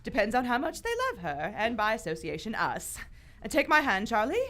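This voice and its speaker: A woman's voice: fairly high pitch, big pitch swings, a little fast, bright, forceful tone. A woman playing a bold, commanding lady adventurer in live radio theater, recorded on a theater stage.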